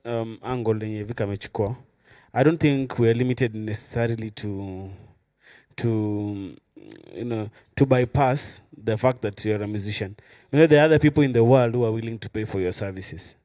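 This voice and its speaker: A Kenyan man on a panel, speaking casually and somewhat haltingly as he makes his point. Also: on the low side, warm tone, dry recording, studio quality. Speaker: musician panelist.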